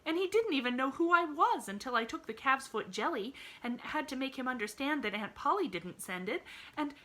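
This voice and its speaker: A woman's voice: sits high, animated, a little fast, pushed and bright, pitched above the performer's own voice, exaggerated voice. A woman voicing a cheerful, earnest little girl who talks eagerly. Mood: protesting.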